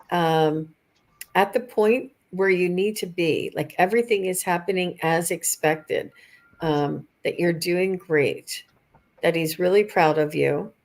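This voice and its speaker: A woman hosting a live psychic reading, speaking haltingly and repeating herself as she relays impressions. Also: lowish voice, darkish tone. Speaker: psychic medium, channel host.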